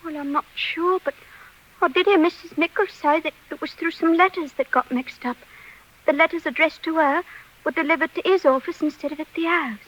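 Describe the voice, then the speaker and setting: A woman acting a fragile, trusting young village bride with a soft, vulnerable manner in a 1940s radio drama. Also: high-pitched, a little fast. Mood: hesitant. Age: young adult. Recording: muffled 1940s radio recording.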